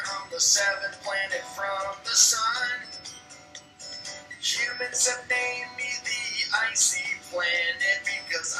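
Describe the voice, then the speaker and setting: A singer performing an educational children's song at a slow pace. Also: mid-range voice. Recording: echoey, distant, music behind.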